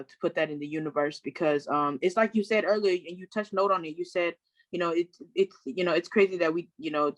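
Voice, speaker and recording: A woman's voice: deep voice, no room sound, low-quality recording. Outspoken woman on a dry panel. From Sacramento, California. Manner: emphatic, outspoken, restarts often. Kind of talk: casual panel discussion.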